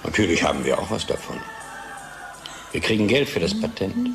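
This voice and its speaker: An actor in a German radio play playing a troubled, nagging husband, agitated and worn down by office life. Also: male voice, deep voice, very animated, fairly quick, gravelly, over music, full character voice. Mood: bitter.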